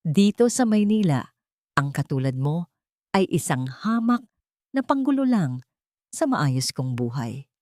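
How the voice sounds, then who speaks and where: A woman's voice: low-pitched, sing-song, a little slow, long pauses, warm tone, dry recording, clean studio sound. A woman voicing an arrogant, cruel young man in an audio story, contemptuous and mixing in English. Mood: scornful. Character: arrogant, cruel young man scorning his childhood sweetheart.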